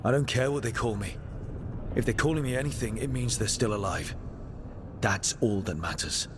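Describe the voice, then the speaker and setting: Man playing a low-voiced wry hero in echoing hall. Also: moderate pace, close to an everyday voice. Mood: resolute. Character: wry, determined male fantasy hero mage. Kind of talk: dramatic video game dialogue. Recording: long hall reverb, clear background noise.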